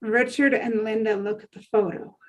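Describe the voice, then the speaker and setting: A woman's voice: on the high side, fairly even intonation, normal speed. A woman reading stage directions as scripted narration at a drama table read.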